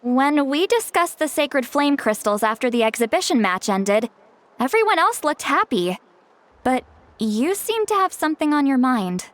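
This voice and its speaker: A woman playing a calm, kindly elder in a video game, speaking in a measured, composed, formal way. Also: high voice. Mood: concerned.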